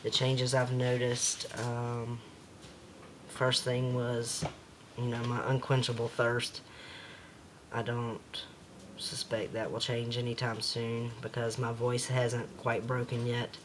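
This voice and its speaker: A man with a slightly raspy voice talking hesitantly in a casual home video diary, with many pauses and fillers. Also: mid-range voice, completely flat delivery, a little hiss or hum.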